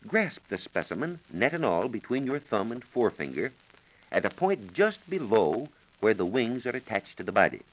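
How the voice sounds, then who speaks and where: An American man giving measured, precise instructions as a science reporter on a scripted 1940s radio program. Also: lowish voice, a bit bright, low-quality recording. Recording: 1940s radio broadcast recording.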